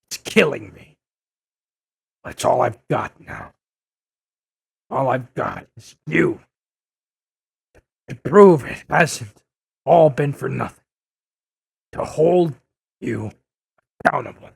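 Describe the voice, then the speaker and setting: A man playing an older, embittered, grieving newspaperman in an audio drama, gravelly and husky, with halting, emphatic delivery. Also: medium pitch, expressive, no echo, clean studio sound, full character voice. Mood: anguished.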